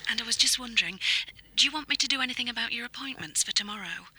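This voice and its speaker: An anxious secretary in a radio play, with a very bright, breathy, slightly high voice. She is dutiful, polite and tentative. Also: very fast, full character voice, poor audio. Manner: hesitant, polite. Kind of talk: naturalistic radio drama acting.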